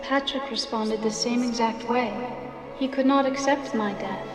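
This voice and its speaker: A woman voicing the ghost of a murdered woman, speaking solemnly and gently in a game cutscene. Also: a little high, unhurried, close to an everyday voice. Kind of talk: 1990s game cutscene acting. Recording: distant echoey hall with music.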